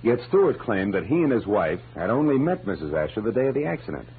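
Tough american radio detective, deep voice, wry and clipped, old tinny 40s broadcast. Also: male voice, fast talker, a little hiss or hum. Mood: sardonic. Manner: sardonic, clipped, deadpan. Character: tough, wry, world-weary male private detective. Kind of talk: 1940s radio acting, hard-boiled first-person narration. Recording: tinny vintage radio broadcast, music behind.

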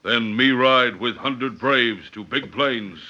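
An actor playing a warlike Comanche chief in a western radio drama, speaking in halting pidgin English. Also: male voice, mid-range voice, bright, forceful tone. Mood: stern. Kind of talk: old-time western radio drama acting. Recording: dull, faintly noisy vintage radio recording.

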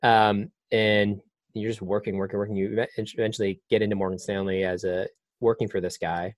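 A man's voice: fairly low pitch, little pitch movement, fairly quick, no echo. A man interviewing a guest, asking probing follow-up questions and summing up the answers. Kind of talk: conversational interview.

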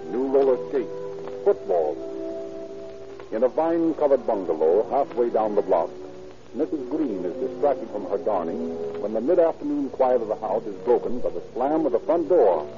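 American man, 1930s radio announcer, medium pitch, declamatory, deliberate, dull recording over faint music.

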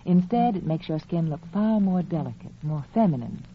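Old radio commercial lady, persuasive scripted pitch, very inflected, bit hissy. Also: lively intonation, moderate pace. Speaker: advertising spokeswoman. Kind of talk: 1940s radio advertisement. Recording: muffled, faintly noisy vintage radio recording.

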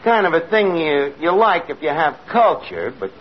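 High-pitched brash tavern wiseguy, old radio. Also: male voice, very animated, moderate pace. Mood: wisecracking. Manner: streetwise, malapropisms, wisecracking. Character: slangy, word-mangling, brash male tavern manager. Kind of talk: 1950s radio comedy acting before a live audience. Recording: old dull radio recording, loud hiss, audience.

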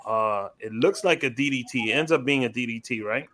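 An upbeat man hosting a podcast, asking questions with plenty of enthusiasm. He keeps the talk moving with quick affirmations. His voice sits at a mid pitch. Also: a bit bright, a little hiss or hum. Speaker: podcast host. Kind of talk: casual interview chat.

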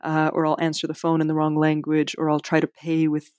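Woman author, interview guest; flat delivery, halting and self-deprecating, dry recording. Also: low-pitched, clean tone, dry recording. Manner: hesitant, many fillers and restarts, self-deprecating. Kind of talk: casual interview.

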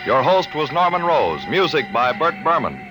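A male radio announcer reading the closing credits in a formal, measured manner. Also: fairly high pitch, sing-song, over music, rough recording.

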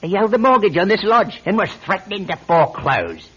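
Theatrical, husky English detective, wildly swooping. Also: male voice, high-pitched, sing-song, fairly quick, fairly bright tone, exaggerated voice. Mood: triumphant. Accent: British. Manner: theatrical, accusatory, precise. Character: pompous English detective, secretly an American actor in disguise. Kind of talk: radio drama acting. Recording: dull lo-fi radio recording.

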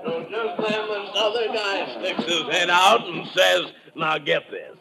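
An actor playing a boisterous, naive American tourist in an old-time radio drama, effusive and folksy, often repeating himself. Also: male voice, sits high, steady tone, measured pace, creaky, noisy background. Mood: tipsy joking.

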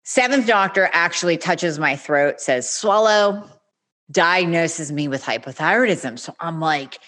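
Woman, forties, deep voice, candid podcast guest, lively storytelling. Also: unhurried. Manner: candid, animated, acts out quoted speech. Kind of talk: casual interview storytelling.

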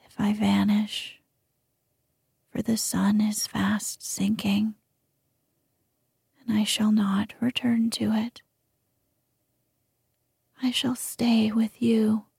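A devoted young sunbeam spirit, earnest and hushed, as a woman whispers him. The voice is husky and breathy, with a gravelly texture. It sounds dark, and the words come slowly. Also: mid-range voice, flat delivery, no room sound, studio quality, heavily acted. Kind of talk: whispered ASMR storytelling.

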